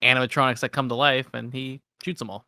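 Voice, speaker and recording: A man co-hosting a podcast, chatting casually in an excitable, exclamatory way. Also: medium pitch, fast talker, gravelly. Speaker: podcast co-host. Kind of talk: casual chat.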